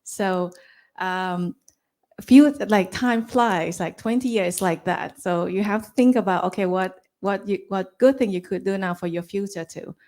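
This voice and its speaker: A woman's voice: medium pitch, measured pace, a little mellow. A woman in her forties with a Thai accent, talking casually to an audience in simple, loose English. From Thailand. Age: 40s. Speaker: speaker on a panel.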